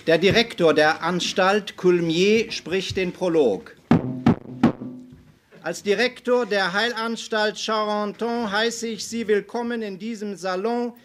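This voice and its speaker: A man's voice: sits high, takes it slowly, music in the background. A man speaking live at a literary reading, introducing and reading a text aloud. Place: hall at a literary meeting. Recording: noisy archive live recording.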